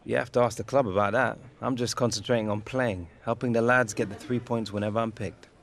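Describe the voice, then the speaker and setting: An actor playing a young footballer facing a transfer, speaking calmly and plainly in a game cutscene. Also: male voice, mid-range voice, fairly quick, gravelly, lightly acted. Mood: steady. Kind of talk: video game cutscene dialogue.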